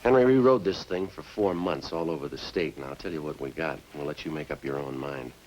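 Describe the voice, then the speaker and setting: Terse detective sergeant, bottom-deep voice, understated, methodical, 1950s radio drama, faint background noise. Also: male voice, a little fast, darkish tone. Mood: firm, matter-of-fact. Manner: clipped, matter-of-fact, understated. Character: terse, methodical male police sergeant interrogating a suspect. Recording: noisy old-time radio recording.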